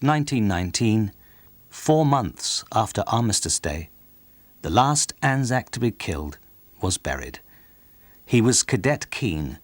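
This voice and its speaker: A man's voice: lowish voice, no room sound. A man narrating a historical documentary from a script, as its presenter.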